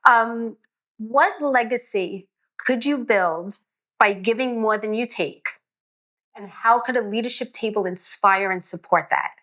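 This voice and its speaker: Bright-voiced woman interviewer. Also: fairly high pitch, unhurried. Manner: affirming, checks in with "right?". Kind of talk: casual interview chat.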